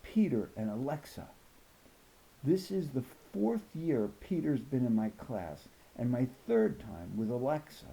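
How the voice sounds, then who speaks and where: A man reading a children's novel aloud as an expressive first-person narrator. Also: medium pitch, measured pace, gravelly, low-quality recording. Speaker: audiobook reader.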